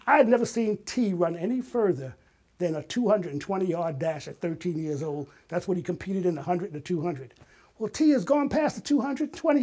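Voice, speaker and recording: Older guy with a high voice telling a story on an interview, dramatic and emphatic, acts out what people said. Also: darkish tone. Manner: dramatic, emphatic delivery with acted-out quotes. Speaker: interview guest, retired artist and playwright. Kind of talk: animated personal storytelling.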